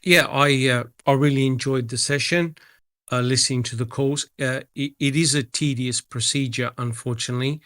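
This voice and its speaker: Man, panel guest, measured, filler pauses, mid-pitched, dry sound. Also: steady tone, a bit bright, no echo. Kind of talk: casual panel discussion.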